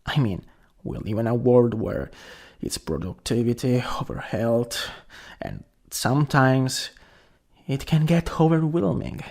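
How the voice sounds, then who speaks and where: A young man acting a caring, worried best friend in an ASMR roleplay, gentle, hesitant and intimate. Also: mid-range voice, unhurried, creaky. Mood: gentle, reassuring.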